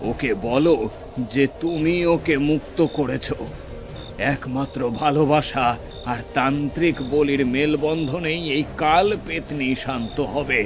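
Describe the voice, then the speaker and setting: A man's voice: fairly high pitch, fairly even intonation, a little slow, music in the background. A man voicing a stern village tantric who gives firm commands and intones mantras. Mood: urgent.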